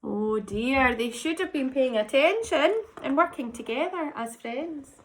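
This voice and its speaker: Slow, high-pitched woman reading to children. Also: rough recording. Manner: animated, dramatic emphasis. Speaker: teacher reading a story to children. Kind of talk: expressive picture-book narration with asides to children.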